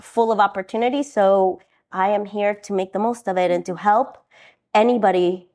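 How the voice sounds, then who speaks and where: Thirtysomething woman from ecuador, guest on a chat show, earnest, even middle voice. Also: mid-range voice, moderate pace. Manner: earnest, thoughtful, laughs easily. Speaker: guest attorney. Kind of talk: casual interview.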